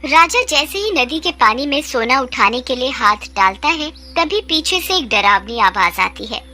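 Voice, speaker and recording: A woman's voice: high voice, lively intonation, unhurried, sharp tone. A woman narrating a scripted children's fairy tale as a storyteller.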